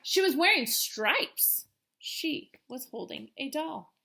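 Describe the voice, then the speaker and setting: A woman teacher reading a children's storybook aloud with expressive, emphatic storytelling. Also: sits high, slow, vocal fry.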